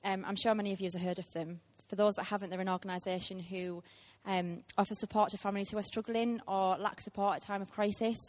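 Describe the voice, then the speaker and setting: A woman's voice: medium pitch, steady tone, fast, hardly any pauses. A woman, preaching a heartfelt sermon to a church with frequent ums. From Teesside, England. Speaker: guest preacher.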